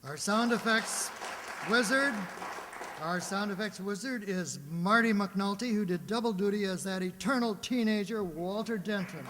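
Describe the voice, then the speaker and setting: A man's voice: high voice, normal speed, pushed and bright. A man presenting crew credits live from an auditorium stage, as one of the players. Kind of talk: live curtain-call introductions. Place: large indoor auditorium, on stage. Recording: noisy echoey auditorium with audience.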